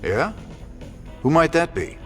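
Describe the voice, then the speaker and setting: A man's voice: fairly high pitch, big pitch swings, slow pace, soft background music. A man playing a weary, wry frontier rebel commander in a video game, with a folksy, dryly sarcastic way of talking. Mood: friendly, wry. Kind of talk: video game cutscene dialogue.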